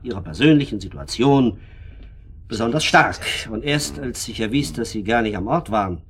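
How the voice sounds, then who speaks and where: A man's voice: medium pitch, expressive, moderate pace, slight background noise, close to an everyday voice. A man acting in a radio drama as a pompous, evasive husband, wordy and stiffly polite. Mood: awkward self-justifying. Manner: stilted, circumlocutory.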